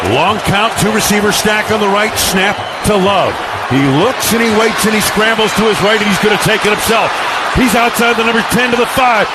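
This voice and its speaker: A man calling live football play-by-play for radio in punchy, clipped phrases over a noisy stadium broadcast feed. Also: high voice. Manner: punchy clipped phrases, building excitement on plays. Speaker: play-by-play announcer.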